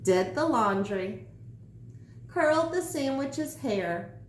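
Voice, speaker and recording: A woman reading a children's story aloud in an expressive, deliberate way. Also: fairly high pitch. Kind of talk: scripted children's story narration. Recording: echoey room, faint background noise.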